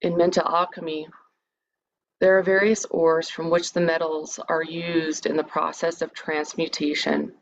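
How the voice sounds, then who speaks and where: A woman with a deep, gravelly-edged voice guides listeners through a meditation. Her delivery is measured and soothing, gently inviting the listener along. The recording is a little dull, with some background noise. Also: level tone. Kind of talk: guided meditation, part improvised, part read lecture.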